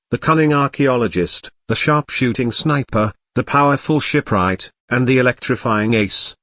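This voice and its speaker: Male narrator, medium pitch, solemn storytelling, scripted drama delivery, very dry recording. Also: a bit bright, dry recording.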